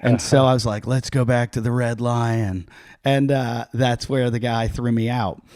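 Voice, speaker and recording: A man's voice: medium pitch, flat delivery, a little slow, a little mellow, no echo. This is a middle-aged man from Pittsburgh hosting a casual podcast. His voice sits in the middle range and sounds dry and close on the mic. He tells loose, self-mocking stories and jumps into short impressions of the people in them. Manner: rambling, self-mocking, acts out voices in anecdotes. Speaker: podcast host, comedian. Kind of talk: casual storytelling chat.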